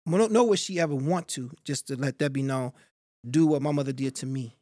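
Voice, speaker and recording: A middle-aged man talking as a podcast guest in a studio, in a measured, reflective storytelling way. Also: mid-range voice, dark tone, no echo. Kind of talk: reflective interview talk. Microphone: studio mic on boom.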